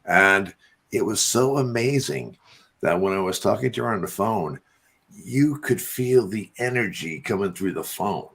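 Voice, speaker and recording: Male host, low husky voice, halting and repetitive, earnest preacher-like talk. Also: expressive, fairly bright tone. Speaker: radio show host. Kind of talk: casual religious talk.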